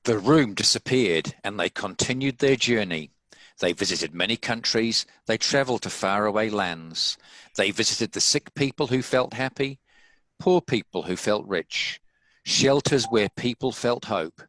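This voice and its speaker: A man's voice: lowish voice, animated, unhurried, a bit bright, no echo, poor audio. A man reading scripted story narration as the narrator of a dramatic table read.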